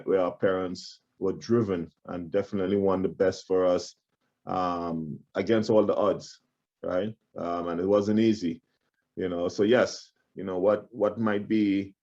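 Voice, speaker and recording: A man's voice: low-pitched, a little mellow. A man from Trinidad hosting an interview, talking in a conversational, affirming way and often repeating words as he thinks. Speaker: podcast host. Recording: dull lo-fi audio.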